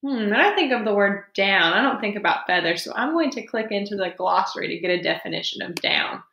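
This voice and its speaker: A woman's voice: medium pitch, expressive, fairly quick, creaky, sharp tone, muffled recording, slight background noise. A woman teacher doing an interactive read-aloud for young children, explaining simply and expressively with questions along the way. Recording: roomy, echoing sound.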